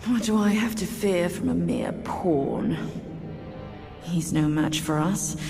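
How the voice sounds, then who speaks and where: A haughty fantasy game matriarch, slow and deliberate, big hall reverb. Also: female voice, lowish voice, vocal fry, dark tone, soft background music. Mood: disdainful. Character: proud, weary noblewoman, a mother wielding power. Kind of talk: cinematic video game acting.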